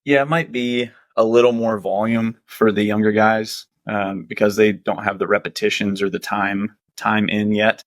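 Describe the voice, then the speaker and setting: Laid-back low-voiced coach, dry mic. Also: male voice, flat delivery, normal speed, few pauses, no echo. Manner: laid-back, laughs often, loose filler-heavy talk. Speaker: strength and conditioning coach, guest. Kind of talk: casual podcast interview.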